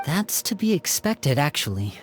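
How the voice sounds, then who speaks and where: A young man speaks with measured, serious poise, earnest and loyal. It is polished video game dialogue, with faint music behind it. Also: fairly high pitch, very animated. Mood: grave. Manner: measured, serious, gently explaining. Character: composed, earnest young man, loyal member of secretive household.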